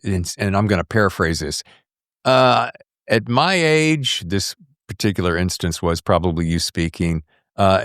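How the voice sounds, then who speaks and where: Animated, low-voiced man hosting a podcast. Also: dry recording, studio quality. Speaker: podcast host. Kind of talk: casual conversational interviewing.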